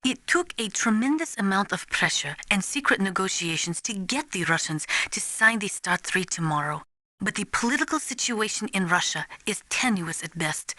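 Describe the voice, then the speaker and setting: A serious female analyst briefing an agent in a video game. Her voice is bright and forceful, recorded very dry and close. She explains everything formally, in measured and precise phrasing. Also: mid-range voice, dry recording. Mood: serious, explanatory.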